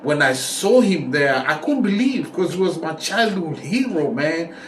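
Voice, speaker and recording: A man's voice: fairly high pitch, animated, measured pace. A middle-aged man with a Kenyan accent telling stories casually as a vlog host, repeating phrases for emphasis. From Kenya, raised in Nairobi. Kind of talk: casual talk to camera, storytelling. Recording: roomy echo, distant mic, faint noise.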